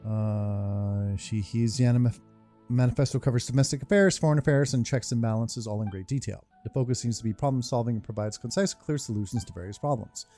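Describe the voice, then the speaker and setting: A man's voice: mid-range voice, a little fast, warm tone, faint music behind. A laid-back man hosting a game playthrough, casually commenting and reading text aloud. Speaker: gaming video host.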